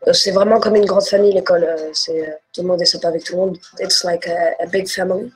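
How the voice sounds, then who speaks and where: A woman student from Portugal, whose first language is Portuguese, talks about her school in plain, warm words. Faint music plays underneath. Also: on the low side, fairly even intonation, very fast, breathy edge, rough recording. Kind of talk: promotional video interview.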